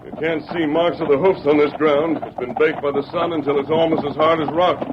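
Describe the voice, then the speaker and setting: A man playing a calm, resolute western hero in an old radio drama, with earnest, precise diction. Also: on the high side, brisk, natural voice. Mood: alert, reasoning. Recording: muffled, noisy old radio recording.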